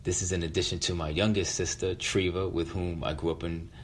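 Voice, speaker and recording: A middle-aged American man telling a personal story in a halting, reflective manner. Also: low voice, flat delivery, husky. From West Philadelphia, United States. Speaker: featured storyteller.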